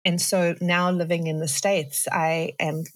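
A woman's voice: on the low side, level tone, a little slow, dry recording. A woman in her forties from South Africa with a South African accent, a podcast guest talking thoughtfully and laughing often. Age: 40s. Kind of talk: conversational interview.

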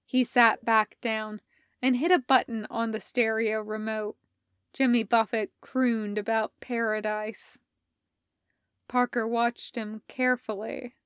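A woman reading scripted fiction as a narrator, measured and expressive in her storytelling. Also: on the high side, smooth, dry recording, studio quality. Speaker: podfic narrator.

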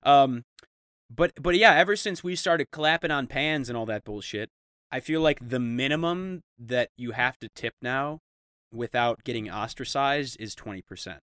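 A man co-hosting a podcast, chatting casually and trading quick jokes. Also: mid-range voice, fairly bright tone, smooth, no echo, studio quality. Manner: joking, quick banter. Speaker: podcast co-host. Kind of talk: casual comedic chat.

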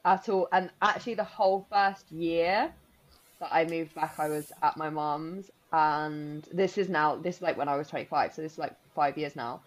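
Podcast co-host, woman in her 30s, deep voice, rambling chatty delivery, slightly muffled lo-fi sound. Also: fairly even intonation, moderate pace. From Spain. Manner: chatty, rambling with many likes and restarts. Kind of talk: casual chat.

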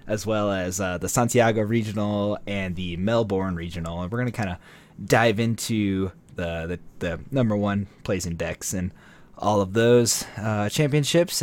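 A man hosting a casual card game podcast, chatty and relaxed, with fillers and restarts. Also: on the low side. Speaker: podcast host.

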